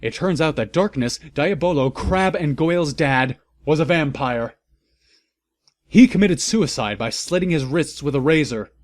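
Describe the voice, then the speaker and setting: A man's voice: a little high, expressive, measured pace, dry recording. Casting: male narrator, mock-serious and theatrical, comic audiobook style, very little room sound. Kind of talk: comedic dramatic reading of fan fiction.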